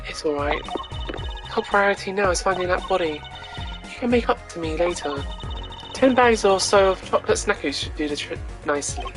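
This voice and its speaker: A woman's voice: on the low side, expressive, moderate pace, music in the background, lightly acted. A woman voicing a grumpy, bossy young female detective in a video game, scolding and exasperated. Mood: sardonic, bossy.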